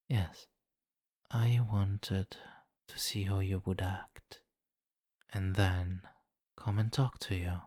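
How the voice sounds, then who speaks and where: Man playing a deep, slow, imperious vampire king. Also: steady tone, no echo, studio quality, heavily acted. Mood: amused, smug. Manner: slow, commanding, teasing, softly menacing. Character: seductive, menacing, imperious vampire king, condescendingly amused. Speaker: roleplay voice performer. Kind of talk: intimate ASMR fantasy roleplay.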